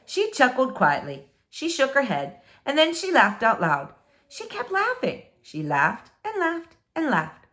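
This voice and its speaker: Woman reading a storybook with very animated pitch. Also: unhurried. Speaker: storybook reader. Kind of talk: expressive children's book read-aloud.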